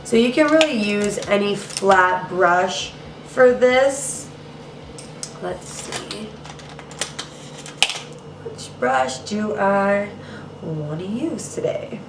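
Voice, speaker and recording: A woman's voice: mid-range voice, lively intonation, extremely slow, long pauses, noisy background. A young woman hosting a makeup tutorial in a casual, chatty way, a little nasal and congested from allergies. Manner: chatty, casual, says "girl" and "you guys". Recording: roomy, mic at some distance.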